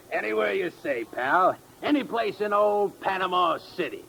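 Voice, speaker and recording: An actor playing a drunken, boisterous, big-spending sailor in an old-time radio drama, talking in rowdy, chummy, repetitive bursts. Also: male voice, sits high, sing-song, measured pace, creaky, full character voice. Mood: boozy, chummy. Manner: rowdy, repetitive, tipsy, backslapping talk. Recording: old radio recording, bar crowd behind.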